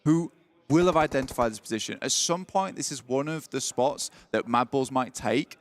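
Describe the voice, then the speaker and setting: A man doing color commentary on a live esports match, relaxed and self-deprecating, mixing analysis with jokes. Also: medium pitch, moderate pace. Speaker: esports co-caster.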